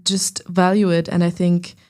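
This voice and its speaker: A woman's voice: on the low side, flat delivery, moderate pace, a little mellow. A young woman co-hosting a student podcast, speaking hesitantly with fillers and restarts. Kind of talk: casual discussion.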